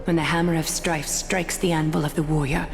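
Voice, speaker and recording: An actress playing a solemn priestess-like figure in a game, proclaiming formally and ceremonially, with measured delivery. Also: female voice, deep voice, little pitch movement, gravelly. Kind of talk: dramatic game voice acting. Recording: long hall reverb under music.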